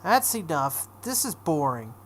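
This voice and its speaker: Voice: medium pitch, sing-song, a little slow, slight background noise. A let's play host voicing a smug, cruel young girl who taunts and commands in a visual novel. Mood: bored, threatening. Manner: taunting, imperious.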